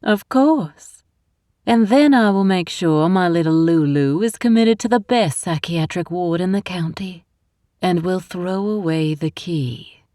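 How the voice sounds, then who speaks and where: A woman voicing a sinister older Southern matriarch with a slow, cackling drawl in an audiobook. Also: fairly low pitch, warm tone, dry recording, studio quality, natural voice. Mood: gloating.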